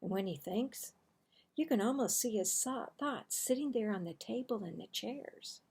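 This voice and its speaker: A woman reads a children's story aloud with deliberate, dramatic emphasis. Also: fairly high pitch, lively intonation, creaky, darkish tone, husky. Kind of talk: expressive scripted read-aloud narration. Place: small room indoors at a desk. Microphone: computer webcam microphone at arm's length.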